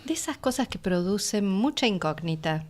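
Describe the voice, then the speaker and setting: A woman with an Argentine accent co-hosting a lively, casual chat in a radio studio. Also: medium pitch, moderate pace. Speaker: radio co-host.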